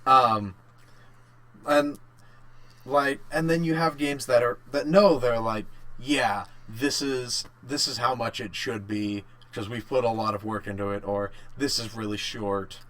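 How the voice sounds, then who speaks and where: A man co-hosting a casual podcast chat, thinking aloud in short, halting bursts. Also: medium pitch, steady tone, a bit bright. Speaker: podcast co-host. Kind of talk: casual chat about games and work.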